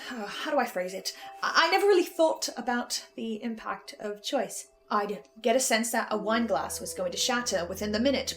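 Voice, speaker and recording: A woman playing an anxious psychic archivist who thinks aloud haltingly in an audio horror drama monologue. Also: on the high side, close to an everyday voice. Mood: uneasy reflection. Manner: hesitant, searching, stammering monologue.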